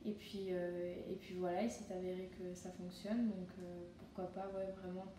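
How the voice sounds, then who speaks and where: A young woman, a resident of a youth housing residence, talking relaxed with many hesitations. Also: on the low side, moderate pace, noisy background. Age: young adult. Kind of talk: testimonial interview. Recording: very echoey room, distant mic.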